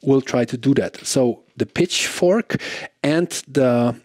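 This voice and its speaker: Male gear reviewer, medium-pitched, conversational and explanatory, casual demo delivery, close dry recording. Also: takes it slowly, darkish tone, dry recording. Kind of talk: casual product demo talk to camera.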